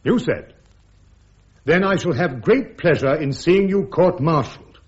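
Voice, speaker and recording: A man playing a defiant, sharp British army major with a clipped, ironic English manner in a radio drama. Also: on the high side, expressive, unhurried. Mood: cutting, insistent. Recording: dull, muffled radio drama recording.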